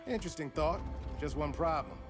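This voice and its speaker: An actor playing a wry, defiant cyborg hero in a video game, casual and confident with a sardonic edge. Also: male voice, a little high, expressive, brisk, close to an everyday voice. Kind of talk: video game cutscene acting. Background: music.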